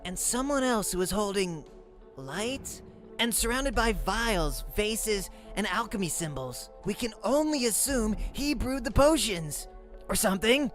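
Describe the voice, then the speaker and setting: A performer voicing an earnest, bookish young male cup creature in a comic dub, lecturing precisely and excitably. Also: medium pitch, measured pace, soft background music, lightly acted. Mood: explanatory.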